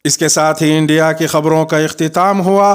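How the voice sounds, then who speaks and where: A man presenting scripted news as host, in a formal, measured bulletin manner. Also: a little high, steady tone, smooth.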